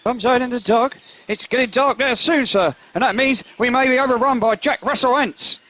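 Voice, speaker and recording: Shrill, panicky corporal. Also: male voice, moderate pace, full character voice. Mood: panicking. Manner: panicked, excitable. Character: panicky, excitable old corporal on a starship.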